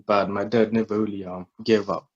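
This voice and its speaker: A man's voice: fairly low pitch, little pitch movement, brisk, dull audio. A young man from South Sudan in his twenties, a humble and reflective guest telling his story in a casual interview.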